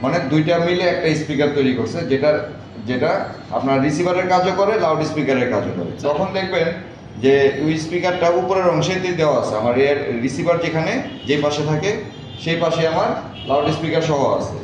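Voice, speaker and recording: A man's voice: fairly high pitch, expressive, brisk. A man lecturing a class as a repair instructor, repeating points and often quizzing his listeners. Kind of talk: classroom lecture with check questions. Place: echoey indoor classroom. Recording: very echoey room, far mic, clear noise, dull.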